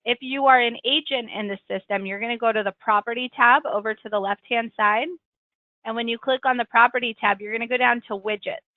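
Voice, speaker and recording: A woman leads a weekly software tutorial in a friendly, rambling way, full of ums and quick self-corrections. Her voice is slightly raspy as she gets over a cold. She comes through a tinny, phone-like connection with no room sound at all. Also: on the high side, steady tone, dry recording. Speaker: software trainer hosting a weekly webinar. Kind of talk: screen-share tutorial walkthrough. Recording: tinny, dry call-line audio.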